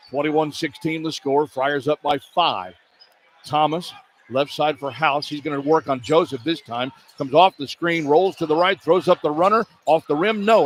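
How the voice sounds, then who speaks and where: A man doing live radio play-by-play of a basketball game, describing the action in clipped running phrases. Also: a little high. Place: courtside in a basketball arena. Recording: clear arena noise behind the voice. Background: arena ambience.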